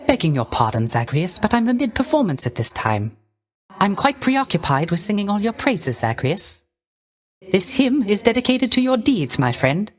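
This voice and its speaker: A male voice actor playing a gentle, melancholy young poet-musician of the underworld in a video game, with soft, airy, ornate and deferential speech. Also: sits high. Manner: ornate, formal, deferential diction. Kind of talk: theatrical game character voice lines.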